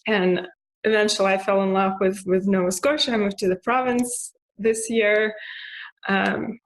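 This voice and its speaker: Russian-accented woman, hesitant and flat. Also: mid-range voice, normal speed, low-quality recording. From Russia (Moscow). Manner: hesitant, many fillers and restarts; laughs softly now and then. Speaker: interviewee. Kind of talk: casual personal monologue.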